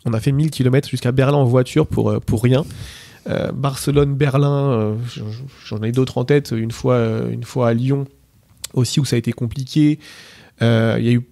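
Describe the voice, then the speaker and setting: Male interviewee, casual storyteller: gravelly, mellow tone, fast delivery full of fillers and restarts. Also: mid-range voice, steady tone, no echo. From Portugal. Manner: rapid anecdotal telling with many fillers and restarts. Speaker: interviewee, PSG ultra supporter.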